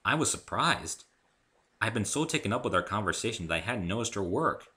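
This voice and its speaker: Low-voiced man narrating a story, animated. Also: fairly quick. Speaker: amateur narrator reading own story. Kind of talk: scripted first-person story narration.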